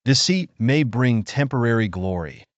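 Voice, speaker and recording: A man narrating a scripted folktale in a measured, expressive storytelling manner with deliberate phrasing. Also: mid-range voice, dry recording, lo-fi sound.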